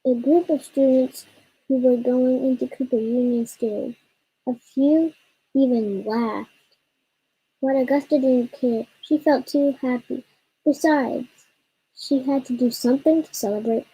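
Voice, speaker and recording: Young girl reading slowly, husky high voice. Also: fairly even intonation, warm tone, rough recording. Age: child. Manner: halting, stumbles and repeats words. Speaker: child co-reader of a storytime. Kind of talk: story read aloud, halting.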